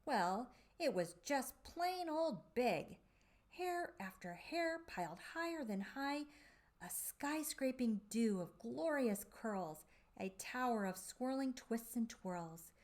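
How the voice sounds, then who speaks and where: Slow, expressive woman reading to children. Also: fairly high pitch. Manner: deliberate, dramatic phrasing with drawn-out emphasis. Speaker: children's storybook reader and presenter. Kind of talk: expressive read-aloud storytelling for young children.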